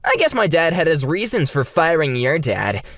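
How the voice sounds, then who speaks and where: A woman's voice: deep voice, big pitch swings, moderate pace, dry recording, faint music behind, exaggerated voice. A woman voicing a mocking, bragging rich schoolboy bully in a manga dub. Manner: mocking, smug.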